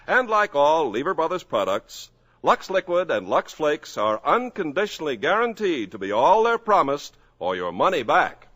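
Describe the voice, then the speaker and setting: A man's voice: on the high side, very animated, normal speed, a bit bright. A man reading a product commercial as the announcer of a 1950s radio show, with a cheerful salesman delivery. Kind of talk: read commercial. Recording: old 1950s radio broadcast.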